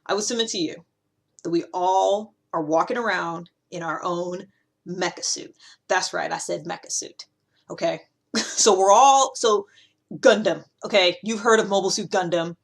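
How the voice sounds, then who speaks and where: A woman hosting a video series, talking casually and explaining ideas in an animated, conversational way with emphatic asides. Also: fairly low pitch, moderate pace, gravelly, breathy edge. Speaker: host of a personality-typing video series. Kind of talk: casual explanatory monologue to camera.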